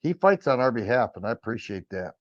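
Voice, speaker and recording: Middle-aged male stream host, raspy, stammering restarts, average pitch, muffled low-fi sound. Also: sing-song, fairly quick. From Michigan. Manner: rambling, with frequent stammered restarts. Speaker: firearms livestream host. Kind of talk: casual livestream chat answering viewers. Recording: dull lo-fi stream audio.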